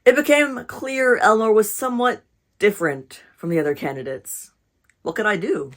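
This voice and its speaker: A woman voicing a kindly, puzzled Santa in a storybook, telling his tale in a measured, reflective way. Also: medium pitch, lightly acted. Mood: doubtful. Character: kindly, puzzled Santa recounting a job search. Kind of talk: storybook read-aloud.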